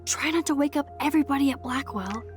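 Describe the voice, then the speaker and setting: A woman's voice: high voice, fast talker, lightly acted. A young American woman playing a wry, nerdy, curious student girl in a video game, with a quippy, musing delivery. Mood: urgent, excited. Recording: music behind the voice.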